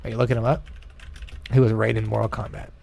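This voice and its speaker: A man's voice: lowish voice, little pitch movement, fast, gravelly, darkish tone. A man co-hosting a gaming show in casual chat, joking and swearing in an informal way. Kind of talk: casual chat, reacting to old film trailers.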